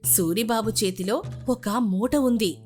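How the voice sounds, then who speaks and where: A woman's voice: fairly high pitch, takes it slowly, a little mellow. A woman giving scripted narration of a moral story, as the storyteller between scenes of dialogue. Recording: music behind the narration.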